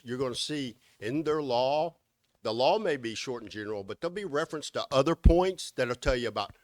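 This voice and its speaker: A man's voice: mid-range voice, no echo. A man giving a critical, sarcastic talk at a meeting. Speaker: meeting speaker on election law.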